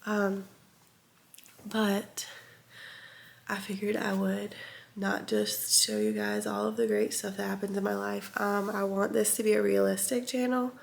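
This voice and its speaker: Congested young woman vlogger with creaky voice. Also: mid-range voice, expressive, measured pace. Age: young adult. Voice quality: congested, stuffed-up. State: sick with congestion and chest cough. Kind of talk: casual confessional vlog chat.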